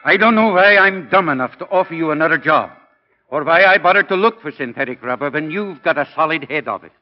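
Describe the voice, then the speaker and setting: An actor playing a gruff but fatherly priest and science professor in 1940s radio style, firm and measured. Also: a little high, animated, natural voice. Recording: muffled 1940 radio broadcast.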